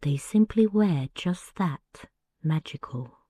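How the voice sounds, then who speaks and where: Soft, slow Finnish woman, low hushed voice. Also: lively intonation, slightly rough, no echo. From Finland. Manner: slow, hushed, gentle delivery. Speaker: podcast host. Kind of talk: soft-spoken bedtime storytelling.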